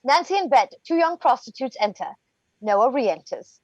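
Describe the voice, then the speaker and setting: A woman's voice: sits high, very animated, normal speed, a little mellow, dry recording. A woman narrating stage directions in a scripted play reading, recorded on slightly dull livestream audio.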